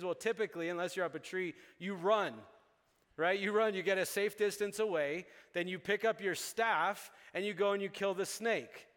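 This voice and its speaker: A man who grew up in Uganda, preaching a sermon and acting out dialogue with little chuckles. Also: sits high. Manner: animated, acts out dialogue with chuckles. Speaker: pastor. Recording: long hall reverb.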